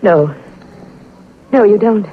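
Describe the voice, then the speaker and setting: Casting: woman to play worried, grieving young wife, medium pitch, emotional 1940s radio acting, slightly muffled archive sound. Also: takes it slowly, close to an everyday voice. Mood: anxious, confiding. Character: anxious, grieving young wife of a secret agent. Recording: dull, hissy vintage radio recording.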